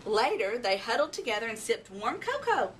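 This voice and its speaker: Animated woman reading a children's story. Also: fairly high pitch, moderate pace. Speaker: storybook reader. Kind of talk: expressive children's story narration. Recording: distant mic, faint background noise.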